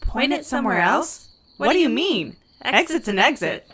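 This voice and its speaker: A woman's voice: a little high, big pitch swings, moderate pace, fairly bright tone, breathy edge, exaggerated voice. A woman playing a brusque, sardonic alien diner boss in an audio comedy, speaking bluntly. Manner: blunt, sarcastic. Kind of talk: audio sitcom acting.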